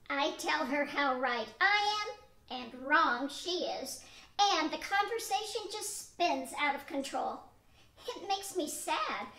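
An older woman playing earnest, high-voiced Marge. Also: sharp tone, full character voice. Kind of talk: amateur radio-play acting from a script. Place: small studio with a draped backdrop. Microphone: stand mic. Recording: echoey room.

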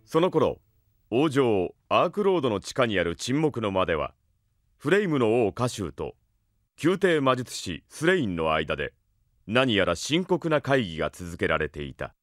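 A man's voice: mid-range voice, very animated, moderate pace, no echo, studio quality. A man reading scripted narration for an audio drama.

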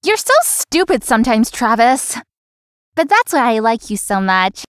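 A woman's voice: on the high side, big pitch swings, a little slow, a bit bright, clear, resonant tone, no room sound, studio quality. A woman voicing a young, friendly but world-weary florist in an anime-style romance game. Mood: fond, teasing. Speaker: voice actor in a visual novel. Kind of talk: scripted anime-style game dialogue and inner monologue.

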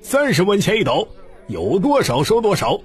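A man's voice: sits high, wildly swooping pitch, moderate pace, slightly rough, soft background music, pitched above the performer's own voice, exaggerated voice. A man voicing a burly male palace guard who bellows proclamations in an audiobook. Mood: urgent bellowing. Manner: shouting proclamations at full throat.

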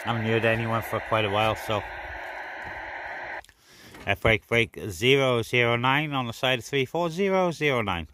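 A man with a medium-pitched voice talks casually to his viewers in an outdoor radio hobby vlog. He is off screen, speaking towards a camera mic in a garden. The recording is heavily noisy, with outdoor ambience and radio hiss behind him. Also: normal speed, long pauses. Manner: informal, addresses viewers as guys. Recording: loud outdoor noise, radio static. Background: outdoor ambience and CB radio noise.

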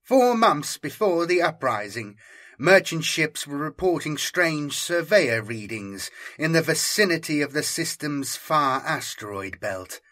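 A man's voice: on the high side, big pitch swings, measured pace, a bit bright, voice pushed up. A man reading an audiobook, voicing a cold, commanding female inquisitor with a cybernetic eye, her speech curt and imperious. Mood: explaining. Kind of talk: audiobook character voice.

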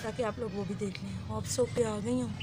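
A young woman with a hoarse throat, chatting casually to her viewers in a vlog and mixing in English words, recorded on a phone at arm's length outdoors. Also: mid-range voice, steady tone, normal speed, background noise. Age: young adult. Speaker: vlogger. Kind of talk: casual vlog chat to camera. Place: outdoors in a rural courtyard with goats. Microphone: phone held at arm's length.

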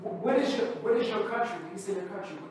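Man hosting live theater, supportive, halting, far from the mic in a very echoey gallery. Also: sits high, lively intonation, darkish tone, slight background noise. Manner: supportive, repeats words, halting. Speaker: playback theater facilitator. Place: art gallery, live audience. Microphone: far camera mic.

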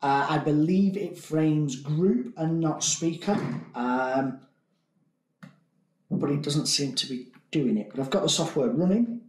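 A man's voice: a little high, a little slow. Chatty man in an echoey meeting room. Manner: chatty, filler-heavy, thinking aloud. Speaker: product demonstrator. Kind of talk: casual product demo. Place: meeting room with large table. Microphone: conference room device mics, distance varies.

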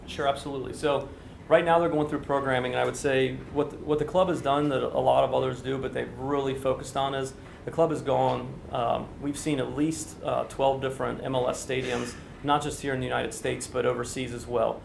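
A man's voice: medium pitch, level tone, brisk, vocal fry, a little hiss or hum. A man in his forties, a construction official, giving businesslike answers at a press briefing in an indoor conference room, speaking into a standing mic. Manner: explanatory, businesslike, with many fillers. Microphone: standing microphone, TV camera. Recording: echoey room.

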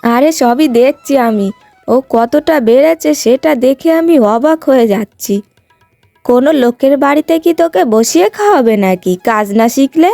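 A stern village mother, acted by a woman in a cartoon moral story. She speaks emphatically in a high voice, close and dry over music. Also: animated, moderate pace, gravelly, mellow, no echo. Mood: exasperated, scolding. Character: stern, scolding mother of a grown daughter. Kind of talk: cartoon moral-tale voice acting.

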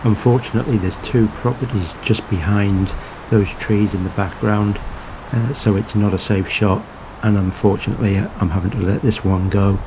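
Casting: man with a lowish voice, plainspoken field narrator, faint outdoor hiss behind. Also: steady tone, moderate pace. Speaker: pest controller narrating hunt footage. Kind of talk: calm low-key voice-over narration.

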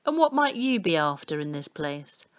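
A woman's voice: low-pitched, big pitch swings, moderate pace. Woman doing a nasty pompous cop in a kids book, fake polite and snappy, muffled audio. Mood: falsely sweet. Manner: mock-polite, snapping, sarcastic. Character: pompous, sneering, menacing male policeman.

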